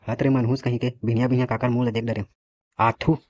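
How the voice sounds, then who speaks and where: Man acting bossy cartoon snake-charmer, rapid speech, Chhattisgarhi accent, boastful, scolding, muffled recording. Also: mid-range voice, flat delivery, a little mellow, natural voice. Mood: irritated scolding. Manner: brash, scolding. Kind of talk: comic cartoon dialogue. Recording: dull lo-fi audio, faint noise.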